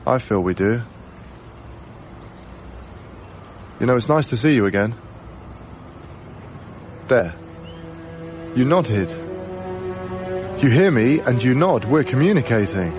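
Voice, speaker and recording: A dull radio broadcast recording holds an actor as a young British man in an intimate radio play. He is a reflective, heartbroken lodger who speaks gently and with measured care. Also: medium pitch, expressive, soft background music, natural voice. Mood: gentle, friendly. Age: young adult. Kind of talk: BBC radio drama acting, intimate first-person narration.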